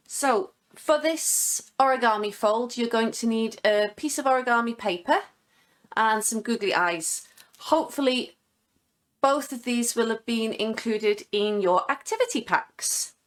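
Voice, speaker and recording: Fairly high-voiced female craft instructor. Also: a bit bright. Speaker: guest craft presenter. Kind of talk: step-by-step craft tutorial. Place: living room at home.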